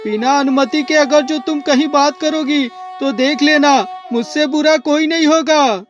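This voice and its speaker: A man's voice: very high voice, measured pace, dull audio, faint music behind. A man playing a suspicious, hot-tempered husband in a melodramatic cartoon moral story, speaking emphatically. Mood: stern warning.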